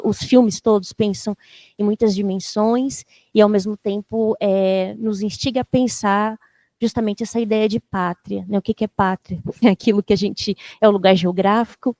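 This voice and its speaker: A woman lecturer giving reflective comments at an academic panel, often pausing to search for words. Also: mid-range voice, warm tone, no echo. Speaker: university lecturer.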